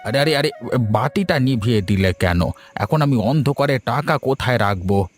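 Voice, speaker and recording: A man playing a teasing, placating old village grandfather in an animated story. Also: mid-range voice, normal speed, soft background music, close to an everyday voice. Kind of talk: Bengali animated story dialogue.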